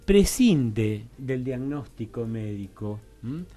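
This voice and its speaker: A man with an Argentine accent giving an academic explanation as a radio guest, halting and didactic, often checking that he is followed. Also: medium pitch, sing-song, dark tone, soft background music. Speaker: interview guest, university teacher and researcher.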